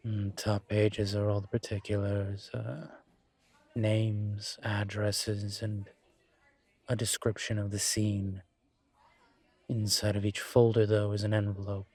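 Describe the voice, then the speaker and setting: A man playing a calm, courteous incubus professor and investigator in an intimate audio roleplay, speaking considerately with careful pauses. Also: lowish voice. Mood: cautioning. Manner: measured, considerate, hesitant pauses. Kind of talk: scripted audio roleplay, intimate one-sided conversation.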